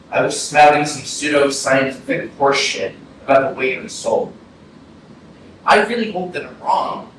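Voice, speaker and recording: A man's voice: a little high, lightly acted. A man acting in a staged reading as a grieving young man, telling his story in a reflective dramatic monologue. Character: grieving young man recounting a friend's death. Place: arts center stage, distant mic. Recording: very echoey hall, distant, clear noise.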